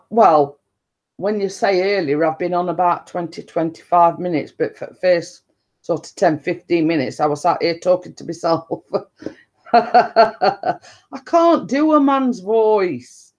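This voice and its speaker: A woman with a deep-toned voice, chatting casually and rambling to her viewers on a live stream. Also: a little mellow, muffled recording. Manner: chatty, meandering, repeats stock phrases and laughs often.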